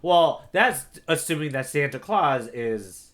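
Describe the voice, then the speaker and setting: A man co-hosting a podcast in casual discussion, analytical and reasoning out loud through questions. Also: on the high side, expressive, slow pace. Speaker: podcast co-host.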